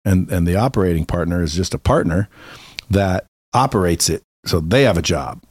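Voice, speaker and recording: A man with a fairly low voice, recorded dry and close. He talks casually, like an entrepreneur guesting on a podcast. He is blunt and sure of himself, with a salesman's turn of phrase. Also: lively intonation, normal speed, a little mellow, breathy edge, no room sound. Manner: blunt, self-assured, salesman-like, mildly profane.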